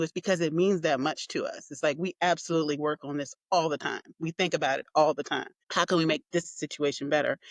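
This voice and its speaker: A woman guest speaking with warm, heartfelt enthusiasm in a casual interview. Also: sits low, no room sound.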